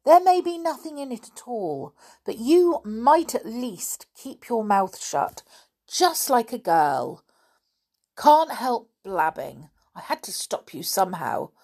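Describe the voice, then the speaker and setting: Woman playing a blunt, bossy schoolboy, lively pitch. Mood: scolding. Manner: brisk scolding, old-fashioned British schoolboy slang. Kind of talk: children's storybook character reading.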